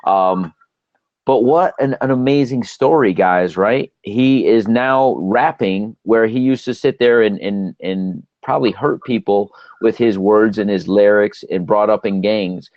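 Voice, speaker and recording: A man's voice: fairly low pitch, unhurried, a little mellow, no room sound. Enthusiastic male host, dull dry sound. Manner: enthusiastic, encouraging, many restarts. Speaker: host of a live stream show. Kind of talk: casual live-stream chat.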